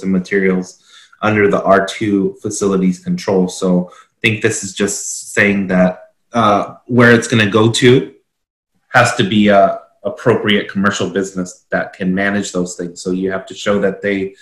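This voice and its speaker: Low-voiced man, echoey room. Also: fairly bright tone. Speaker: consultant explaining a standard. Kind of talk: explanatory talk, reading requirements aloud. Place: small indoor office. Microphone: video call computer microphone. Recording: echoey room, video call.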